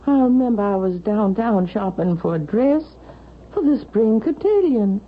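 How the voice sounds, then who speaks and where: A woman's voice: medium pitch, animated, normal speed, lightly acted. An actress playing an imperious, indignant older mother in a vintage radio drama, with haughty, demanding delivery. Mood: bewildered, testy. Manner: haughty, demanding, sharp-tongued. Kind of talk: 1970s radio drama acting. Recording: tinny, noisy vintage radio recording.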